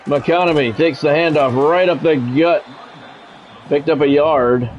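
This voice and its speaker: A man's voice: a little high, a little slow, slight background noise. A man streaming a sports video game, switching between play-by-play commentary and casual chat. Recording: dull lo-fi audio, music behind.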